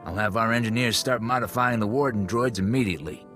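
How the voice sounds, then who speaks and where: An actor playing a grave, businesslike military officer briefing on a crisis in a video game. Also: male voice, on the low side, fast, fairly bright tone, soft background music, natural voice. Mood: grim briefing. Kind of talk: video game cutscene acting.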